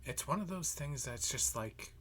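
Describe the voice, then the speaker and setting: A man playing a weary, jealous math teacher and best friend in a roleplay monologue, halting and muttering with a breathy voice. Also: mid-range voice, expressive, a bit bright. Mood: hurt, upset. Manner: halting, muttering, frequent sighs. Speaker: audio roleplay performer. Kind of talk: slow roleplay monologue to the listener.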